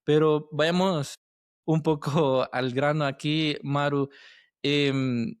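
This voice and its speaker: A man's voice: on the high side, fairly even intonation, slow, clear, resonant tone, dry recording, studio quality. A man hosting a conversational podcast interview, feeling his way through sentences and checking in with tag questions. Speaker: podcast host interviewing a guest.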